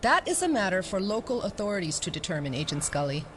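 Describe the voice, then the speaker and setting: A woman's voice: medium pitch, big pitch swings, fast, a bit bright, a little hiss or hum. A woman playing a stern, officious FBI assistant director with formal authority in an audio drama. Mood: reproving.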